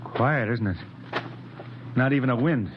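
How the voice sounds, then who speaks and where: A man's voice: medium pitch, expressive, unhurried, close to an everyday voice. An actor in an old radio drama playing a deferential, hesitant junior spaceship crewman. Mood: subdued. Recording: dull, clearly noisy old radio recording.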